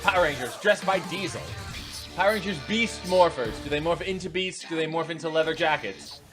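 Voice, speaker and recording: A man with a somewhat high-pitched voice reacts to clips as he watches them. His running commentary is unscripted, incredulous and sarcastic, with an exasperated edge. Music plays clearly behind his voice. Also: brisk. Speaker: reaction video host.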